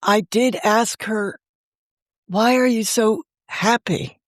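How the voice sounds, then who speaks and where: A woman being interviewed on a podcast, telling a personal story in a warm, fond and gently reflective way. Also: fairly high pitch, takes it slowly, dry recording, clean studio sound. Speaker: guest, novelist and podcast creator. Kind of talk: conversational storytelling.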